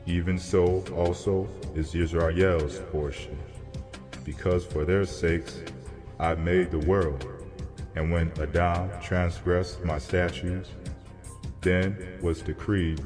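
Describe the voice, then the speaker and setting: A man voicing a solemn, commanding angel who declaims parables in a grave, measured way in a scripture dramatization. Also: deep voice, pitched below the performer's own voice. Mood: solemn, stern. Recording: long cavernous reverb under music.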